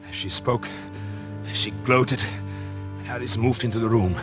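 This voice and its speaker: An American actor playing a calculating, murderous doctor in an intense, deliberate first-person narration for a 1940s radio suspense drama. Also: male voice, medium pitch, fairly even intonation, a bit bright, lightly acted. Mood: stunned, desperate. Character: calculating murderous husband, a doctor, smug then horrified. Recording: 1940s radio recording with music behind.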